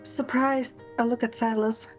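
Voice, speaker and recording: A woman's voice: fairly high pitch, little pitch movement, a little slow, faint music behind. A woman narrating a scripted first-person romantic story in an audio drama.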